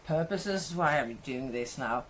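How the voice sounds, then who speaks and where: A Swedish woman speaking English with a Swedish accent, talking slowly and unhurriedly over her kitchen work. Her voice sits a little low, and she wanders and corrects herself as she chats. There is a faint hum of the room behind her. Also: lively intonation. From Sweden. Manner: rambling, self-correcting, chatty. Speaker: cooking vlogger. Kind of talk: casual cooking chat. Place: home kitchen, indoors.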